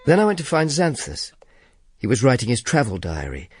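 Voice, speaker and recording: A man's voice: mid-range voice, huge pitch swings, a little fast, a little mellow, slightly rough, dry recording. A man playing a world-weary, sardonic Roman informer who narrates and speaks in a radio drama. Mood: wry narration. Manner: dry, sardonic. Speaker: lead actor and narrator.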